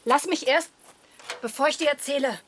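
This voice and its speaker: A woman's voice: sits high, normal speed, natural voice. An actress playing a defiant, proud young female secret agent in a radio drama. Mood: bold, urgent. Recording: clear background noise and ambience.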